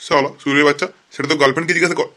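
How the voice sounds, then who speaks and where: A man plays an irate, scheming middle-aged cartoon father. His voice is fairly high and bright, rattling along very fast with blustering threats, over slight noise. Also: steady tone, lightly acted. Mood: furious. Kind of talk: comic cartoon dialogue.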